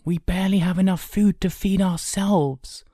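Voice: medium pitch, expressive, moderate pace, dark tone, no room sound, studio quality, voice pushed up, natural voice. A performer voicing a bold, cocky older brother, a brash boy fighter in a storybook. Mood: warning. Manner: brash, commanding. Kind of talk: audiobook character acting.

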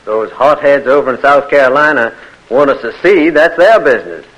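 An actor playing a principled young gentleman, with a calm, firm manner, in an old radio drama. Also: mid-range voice, fairly quick, natural voice, low-quality recording. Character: principled young Southern gentleman, loyal to the Union. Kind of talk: 1940s radio acting.

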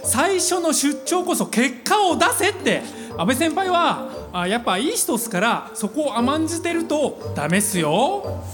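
Casting: slangy junior office worker, blunt and casual, high lively voice over background music. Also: female voice, normal speed. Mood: blunt, advising. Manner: slangy, matter-of-fact. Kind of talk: live radio drama acting.

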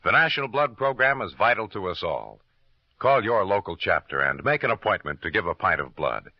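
A man's voice: on the low side, big pitch swings, a little fast, dry recording. A man announcing in formal, solemn 1950s radio style, reading scripted copy with emphasis. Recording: dull lo-fi old radio recording.

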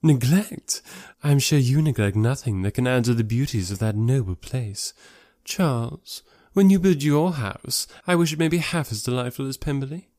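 A man's voice: medium pitch, animated, normal speed, darkish tone, dry recording, studio quality, natural voice. A man voicing a haughty, catty young society lady in an audiobook, with an affected, snobbish and emphatic delivery. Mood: arch, sneering. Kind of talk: classic novel audiobook character voice.

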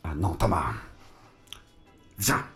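An actor playing a flustered, exasperated barber in an audio drama, stammering and protesting as he tries to calm a friend. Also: low-pitched, slow, vocal fry, soft background music, full character voice. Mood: apologetic, exasperated. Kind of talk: French audio fiction acting.

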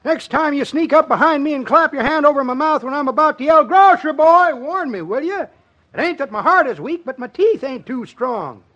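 A man's voice: animated, moderate pace, a bit bright. An actor playing a teasing elderly grocery man with a thin voice and folksy grammar in an old radio comedy. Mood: grumbling. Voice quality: high, thin old man's voice. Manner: folksy, drawling colloquial grammar. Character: teasing elderly grocery-delivering old-timer with weak teeth. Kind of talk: 1950s radio comedy acting. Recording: muffled vintage radio recording.

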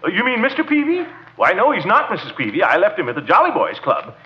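An actor playing a pompous, grumbling, flustered middle-aged uncle in a 1940s style radio comedy. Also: male voice, high voice, wildly swooping pitch, fast. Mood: pompous, reassuring. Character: blustering, flustered, self-important uncle of the house. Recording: noisy, muffled vintage radio recording.

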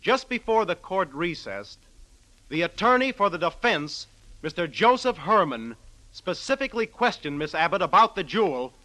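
An American actor playing an earnest assistant district attorney, giving evidence formally and deliberately in old-time radio drama style. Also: male voice, sits high, big pitch swings, bright, forceful tone, heavily acted, poor audio. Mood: earnest, revealing.

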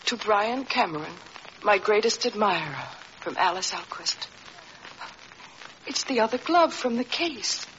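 An actress playing a distressed, gaslit young wife in an old-time radio drama, pleading and halting as she speaks. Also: medium pitch, lively intonation, fairly bright tone. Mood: astonished, shaky. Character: distressed, gaslit young wife doubting her own sanity. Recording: hissy, dull vintage radio recording.

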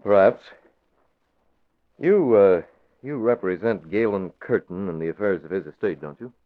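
An actor playing a calm, measured newspaper publisher who presses with pointed questions, in 1940s radio acting style. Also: male voice, on the low side, natural voice. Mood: probing. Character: cool, probing male newspaper publisher. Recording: tinny phone-like old radio recording.

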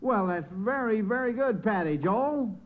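An American man hosts a vintage radio quiz show with a high voice. He is effusive and encouraging with contestants. The recording is thin and band-limited, like an old broadcast. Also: expressive, a little slow, phone-quality sound.

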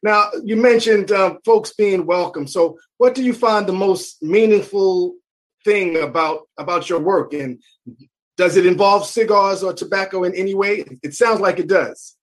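High-pitched male interviewer. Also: normal speed. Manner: brief affirmations, steady questioning. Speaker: interview host. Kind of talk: interview questions.

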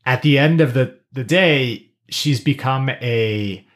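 A middle-aged podcast co-host chatting casually, with an informal, halting delivery and slangy asides. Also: mid-range voice. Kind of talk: casual chat.

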